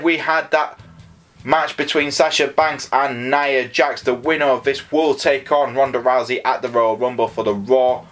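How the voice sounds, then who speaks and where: A man with a Yorkshire accent giving a chatty, enthusiastic solo review of a wrestling show, often jumping up in pitch on his opinions. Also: fairly bright tone. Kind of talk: casual solo review commentary.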